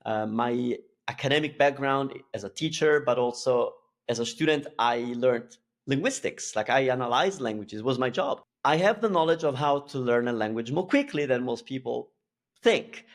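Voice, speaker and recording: A man speaking in a relaxed, easygoing way as a guest in a casual interview, often restarting his sentences. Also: medium pitch, moderate pace. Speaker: guest, language school founder.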